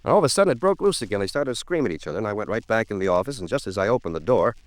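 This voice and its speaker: A man's voice: lowish voice, big pitch swings, very fast, dry recording. A man playing an earnest, cautious American attorney in a 1950s radio drama, explaining himself formally and at length. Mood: earnest, concerned. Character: earnest, cautious male attorney, talkative and proper.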